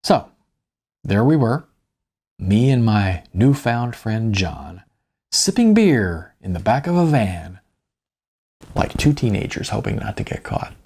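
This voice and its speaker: Husky, gravelly middle-aged male narrator. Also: fairly low pitch, sing-song, slow pace, long pauses, a little mellow. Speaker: author reading own audiobook. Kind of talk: first-person audiobook narration.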